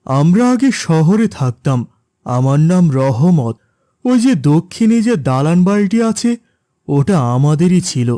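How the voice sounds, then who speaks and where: Mellow, gentle cartoon father acted by a man. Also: fairly high pitch, sing-song, measured pace, dry recording, clean studio sound. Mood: polite, explaining. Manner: gentle, patient, reassuring. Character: gentle, reassuring middle-aged village-born father. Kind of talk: cartoon character dialogue.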